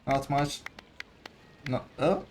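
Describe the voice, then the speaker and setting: A man's voice: fairly high pitch, wildly swooping pitch, slow pace, a little mellow, slight background noise. A man giving casual commentary as he plays through a video game. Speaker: game streamer.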